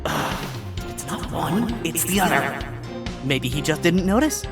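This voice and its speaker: Dude doing a smug fox lawyer, dry sarcastic jabs, voice swinging up and down, music clearly playing under. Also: male voice, on the high side, lively intonation, unhurried. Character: smug sly male fox defense lawyer.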